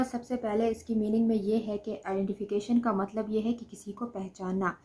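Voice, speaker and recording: A woman's voice: fairly high pitch, dark tone, poor audio. A woman with a Pakistani accent giving an explanatory law lecture, going step by step and repeating each point. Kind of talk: explanatory lecture alternating Urdu explanation with slowly read English definitions.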